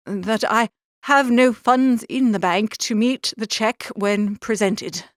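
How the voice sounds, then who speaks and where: A woman's voice: fairly high pitch, slow, dry recording, studio quality. A woman voicing an earnest, mournful young male curate in an audiobook, speaking formally and pleadingly. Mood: mournful confessing. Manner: formal, wordy, pleading speech. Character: earnest, mournful young curate, a man, confessing gambling ruin. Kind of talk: audiobook character reading.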